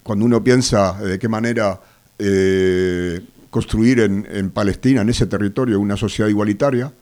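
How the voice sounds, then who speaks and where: A man from Argentina with an Argentine accent, a guest on a radio show, speaking with a cold in a measured, hesitant way, full of fillers. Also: lowish voice, fairly even intonation, darkish tone. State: has a cold. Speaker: activist guest. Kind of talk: radio interview. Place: radio studio.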